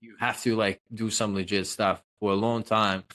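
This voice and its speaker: A man's voice: fairly low pitch, level tone, moderate pace, fairly bright tone. A man from Russia, a guest consultant, talking loosely and jokily in an interview, with many fillers. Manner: loose, joking, self-promoting, many fillers. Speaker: guest, social selling consultant. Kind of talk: casual interview talk.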